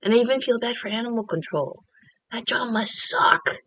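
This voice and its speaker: A woman's voice: a little high, moderate pace, fairly bright tone, no room sound. A Korean American woman talking candidly and rambling in a casual confessional vlog from home. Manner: candid, rambling, self-deprecating. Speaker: vlogger.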